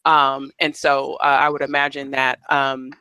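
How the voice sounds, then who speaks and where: A man's voice: medium pitch, monotone, a little slow, bright, forceful tone, smooth, no room sound. A man hosting a live panel discussion, talking conversationally with frequent restarts and fillers. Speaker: host.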